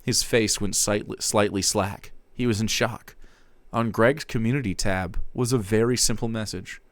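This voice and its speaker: A man streamer giving a dramatic reading with wry, deadpan asides. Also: lowish voice, normal speed, no room sound.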